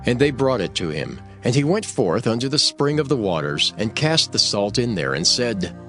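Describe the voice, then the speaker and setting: Animated man narrating scripture over music. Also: medium pitch, normal speed. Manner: solemn, storytelling. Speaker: Bible narrator.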